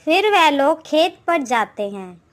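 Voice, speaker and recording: ऊँची आवाज़ वाली महिला कथावाचक. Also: female voice, sits high, big pitch swings, slow pace, slight background noise. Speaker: storyteller. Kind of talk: scripted moral-story narration. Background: background music.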